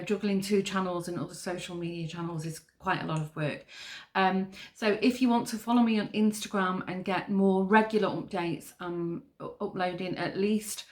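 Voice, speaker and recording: A middle-aged woman from Manchester with a northern English accent, hosting her own craft podcast in a chatty, rambling way with lots of fillers. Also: on the low side. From Manchester, England. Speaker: podcast host. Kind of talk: casual chat.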